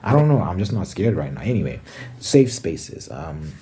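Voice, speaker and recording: A man's voice: low voice, big pitch swings, moderate pace, mellow, faint music behind. A man from Zimbabwe talking casually to camera in his room, rambling and correcting himself as he thinks aloud. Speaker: ASMR channel host. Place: bedroom with window.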